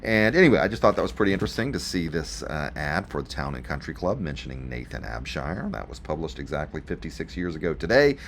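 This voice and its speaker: Male radio presenter, folksy and chatty showman, highly animated intonation, faint noise behind. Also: fast, few pauses. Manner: chatty showman addressing listeners, with dramatic repeats. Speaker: radio show host.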